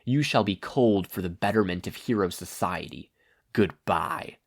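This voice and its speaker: A man's voice: low-pitched, unhurried. A man voicing a cold, menacing vigilante killer who speaks formally and with contempt in an audiobook. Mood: contemptuous menace.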